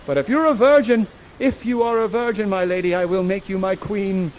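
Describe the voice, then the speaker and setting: A man's voice: sits high, fairly quick, slight background noise, pitched above the performer's own voice, natural voice. Man doing a brash, smitten young prince. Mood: ardent. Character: cocky, smitten young prince, ready to fight. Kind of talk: comic Shakespeare storytelling with modern slang.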